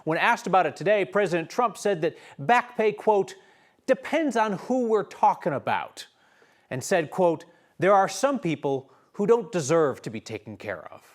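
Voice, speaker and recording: A man's voice: high voice, very animated, moderate pace. An American man anchoring a newscast with scripted news narration.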